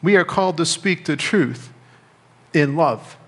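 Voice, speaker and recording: A middle-aged American man preaching a sermon as a pastor, speaking in measured phrases with pauses and repetition. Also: a little high, expressive. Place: church sanctuary, indoors at a lectern. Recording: reverberant church hall.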